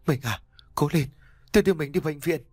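A man voicing a desperate aging husband who pleads, shouts and sobs over his dying wife. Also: on the high side, brisk, slightly rough, dry recording, clean studio sound, voice pushed up. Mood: frantic pleading. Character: desperate aging husband, grief-stricken and volatile.

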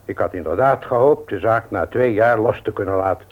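A man's voice: on the low side, close to an everyday voice. A man acting in a radio play as a stubborn, formal widowed uncle in his seventies who runs the family business. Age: older. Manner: formal, measured, unyielding. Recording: tinny, narrow-band old radio recording.

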